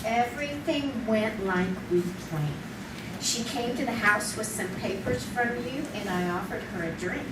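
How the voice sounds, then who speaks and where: An actress playing a cold, ruthless, scheming wife in an old-time radio style crime drama. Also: female voice, medium pitch, measured pace, close to an everyday voice. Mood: cool, calculating. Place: live theater stage. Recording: distant echoey stage recording, clear noise.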